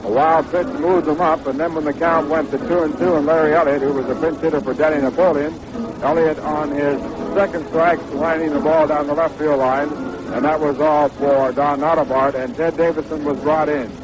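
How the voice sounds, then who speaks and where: A man's voice: fairly high pitch, fairly even intonation, moderate pace, low-quality recording. An American man recapping a baseball game in long run-on sentences on a 1960s radio broadcast.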